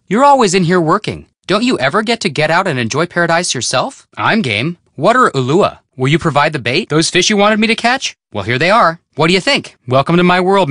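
A man's voice: on the high side, a bit bright, dry recording, clean studio sound. A man playing an upbeat, curious young American amateur detective in a video game, breezy, teasing and casual. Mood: curious, friendly.

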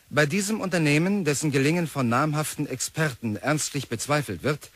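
An actor playing a formal reader of newspaper reports in a radio drama, factual in manner. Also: male voice, medium pitch, a bit bright, no echo, lightly acted. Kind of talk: scripted news-report reading in radio drama.

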